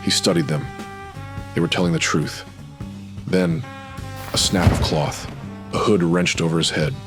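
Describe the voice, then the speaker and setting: Guy narrating dark noir audio drama, super deep voice, moody short phrases, music going behind him. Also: fairly even intonation, a little slow.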